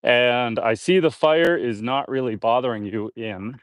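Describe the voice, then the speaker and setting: Homesteader man, casual live-stream chatter, a bit congested, mid-pitched, dry close sound. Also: unhurried, dry recording. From Canada. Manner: relaxed, chatty, reads viewer comments aloud. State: a little congested. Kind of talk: casual live-stream chat while building. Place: outdoors on a homestead.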